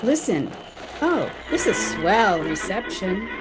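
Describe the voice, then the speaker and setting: A woman playing an eager, excitable teenage schoolgirl in a 1950s-style radio comedy. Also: medium pitch, slow, over music, low-quality recording. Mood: curious.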